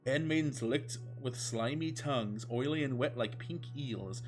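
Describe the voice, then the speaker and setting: A man's voice: mid-range voice, unhurried. A man reading fan fiction aloud as a comedic, mock-epic narration, sounding amused. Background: music.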